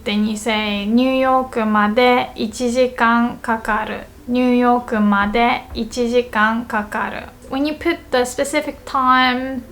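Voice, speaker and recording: A woman's voice: on the high side, fairly bright tone, slight background noise. A young woman in her twenties teaching a language lesson, patiently explaining and repeating each example sentence twice. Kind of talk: video lesson. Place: small indoor room with bookshelves.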